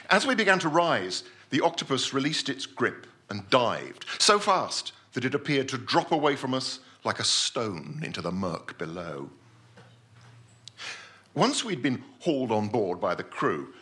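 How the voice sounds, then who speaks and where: Man playing a pompous gentleman scientist, animated and bright. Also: medium pitch. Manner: pompous, precise, self-assured. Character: pompous, obsessive Victorian-style gentleman scientist recounting his deep-sea adventure. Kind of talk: theatrical first-person story reading.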